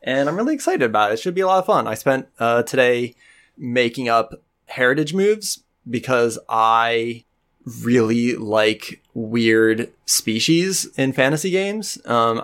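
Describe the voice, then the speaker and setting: A man's voice: medium pitch, fairly bright tone. A young man hosting a podcast, chatting casually and enthusiastically, rambling with lots of fillers. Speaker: podcast host and game master. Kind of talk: casual chat.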